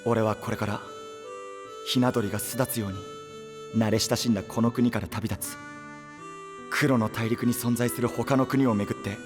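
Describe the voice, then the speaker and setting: A man's voice: medium pitch, fairly even intonation, normal speed, pauses often, lightly acted. A man playing an earnest, proud, devoted young knight in an audio drama, narrating his quest, with an Oita Kyushu dialect in dialogue. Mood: resolute. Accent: Oita, Kyushu Japanese dialect in dialogue. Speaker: voice actor. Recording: studio voice over prominent background music.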